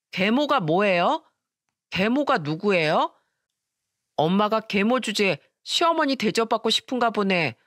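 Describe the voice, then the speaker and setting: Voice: mid-range voice, fairly bright tone, no echo, studio quality. A narrator voicing an innocent seven-year-old boy telling tales to his grandmother.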